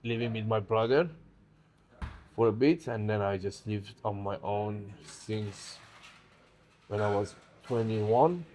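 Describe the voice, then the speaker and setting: Chatty Iran-born man, relaxed. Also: on the low side, slow pace, pauses often, a little hiss or hum. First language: Farsi. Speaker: bodybuilder. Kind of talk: casual sit-down interview.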